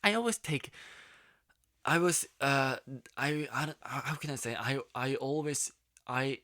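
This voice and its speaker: Halting Korean-accented young man, slow. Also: mid-range voice, bright, forceful tone, no echo, studio quality. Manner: halting, many restarts and fillers, searching for words. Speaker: YouTube vlogger. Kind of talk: casual monologue.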